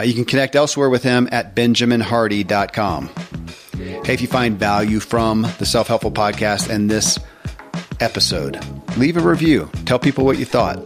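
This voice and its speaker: A man speaks as a podcast host in a lowish voice. He talks earnestly, explaining things as he goes. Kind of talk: podcast intro and interview talk.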